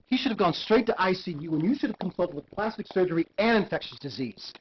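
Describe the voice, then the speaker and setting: A man's voice: high-pitched, animated, quick pace, slight background noise. A man acting as a stern supervising doctor in a live-action hospital game. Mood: stern, disappointed. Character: stern supervising doctor judging a subordinate's work. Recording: dull lo-fi game video audio.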